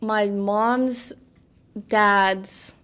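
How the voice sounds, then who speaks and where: A young American woman in her twenties, guest on a podcast. She chats casually, rambling with lots of fillers and little laughs, in a smooth, clear voice of middling pitch. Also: steady tone, extremely slow, a bit bright. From United States. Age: 20s. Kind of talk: casual interview chat.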